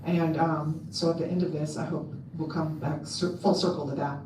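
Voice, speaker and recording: A woman with a loud voice giving a casual talk to a convention audience, chatty and full of ums and restarts. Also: deep voice, level tone, moderate pace, a little hiss or hum. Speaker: convention speaker. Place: convention hall. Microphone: handheld mic on a stand. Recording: echoey hall, mic at a distance.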